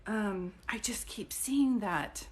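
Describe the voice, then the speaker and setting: A woman's voice: mid-range voice, slow. A middle-aged woman working as a psychic medium, chatting casually on a live stream, thinking aloud and often stopping to restart a word.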